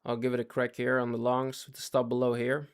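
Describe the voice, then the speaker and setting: A man's voice: medium pitch, monotone, brisk, smooth. Man streaming live trades, losing his voice. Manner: talks in fits and starts, repeats himself, asks chat to follow. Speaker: day trading livestreamer. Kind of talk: live trading commentary to chat.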